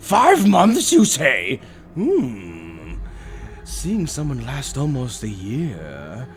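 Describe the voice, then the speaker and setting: A man's voice: mid-range voice, huge pitch swings, very slow, a little hiss or hum, full character voice. A man voicing a suave, theatrical demonic bird tempter in a roleplay audio, drawling with mockingly polite, condescending villainy. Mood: intrigued, gloating. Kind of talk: scripted character roleplay audio.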